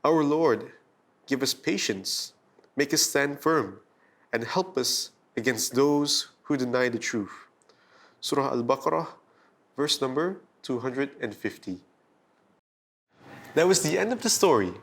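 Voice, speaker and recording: A man hosting and narrating a scripted bedtime story for children in a dramatic, deliberate storytelling manner. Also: mid-range voice, lively intonation, gravelly, fairly bright tone.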